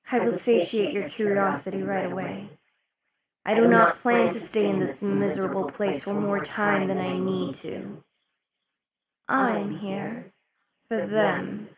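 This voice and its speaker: A woman voicing a possessive, imperious demon queen in an ASMR roleplay, speaking slowly and sinking into soft whispers. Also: mid-range voice. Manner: slow, velvety, dips into whispers. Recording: loud party crowd under the voice. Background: crowd chatter of a party.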